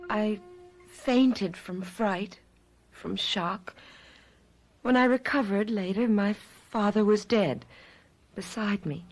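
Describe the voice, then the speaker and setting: An actress playing an earnest, world-weary immortal young woman in a radio drama, speaking in a deliberate, dramatic way. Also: medium pitch, a little hiss or hum, lightly acted. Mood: wistful, recounting.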